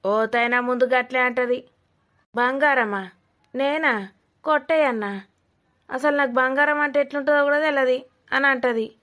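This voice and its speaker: A woman's voice: sits high, steady tone, moderate pace, pauses often, a bit bright. A woman voicing a suspicious, scolding old village woman in a cartoon comedy, with a Telangana accent, blunt and mocking. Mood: mocking, accusing. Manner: blunt, mocking, rambling accusations.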